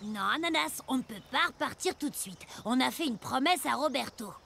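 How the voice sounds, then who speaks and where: A woman voicing an eager young adventurer in an animated cartoon, chatty and exclamatory. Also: high voice, brisk, vocal fry, slight background noise, heavily acted. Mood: earnest. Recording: music under the voice.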